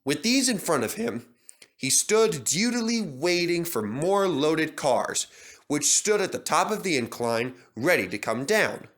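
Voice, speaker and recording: A man reading a children's storybook aloud as narrator. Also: a little high. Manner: expressive, measured.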